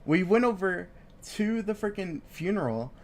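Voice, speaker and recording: A man's voice: high-pitched, animated, moderate pace, a little mellow. A young man co-hosting a podcast in casual chat, sniffly from a cold, rambling with lots of fillers and swearing. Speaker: podcast co-host. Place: home studio, live-streamed.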